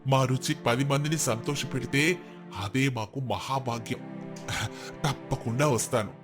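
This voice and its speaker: A man voicing a revered master cook in a story, grave, calm and philosophical, with a solemn, measured, instructive manner. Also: medium pitch, over music, close to an everyday voice. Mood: humble, gracious.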